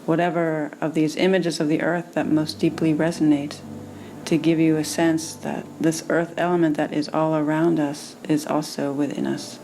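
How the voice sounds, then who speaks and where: A woman meditation teacher leading a guided meditation in a soothing manner, with gentle pauses between phrases. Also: low-pitched, level tone, slight background noise, low-quality recording.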